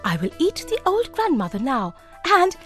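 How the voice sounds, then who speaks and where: A woman's voice: high-pitched, huge pitch swings, moderate pace, soft background music. A woman voicing a wicked, hungry male wolf in a children's storybook tale, scheming and gloating.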